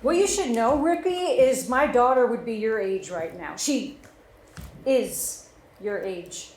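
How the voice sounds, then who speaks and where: A woman's voice: on the high side, slow pace, darkish tone. A woman playing a jaded, middle-aged police detective in a live table read, dry and matter-of-fact. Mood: personal, bitter. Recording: echoey room with background noise.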